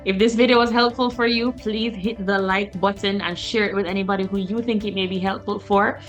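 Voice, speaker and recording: A woman who immigrated to Canada, hosting an interview show, affirming her guest with steady "mm-hmm"s and stringing ideas into rolling lists. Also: mid-range voice, a little fast, soft background music.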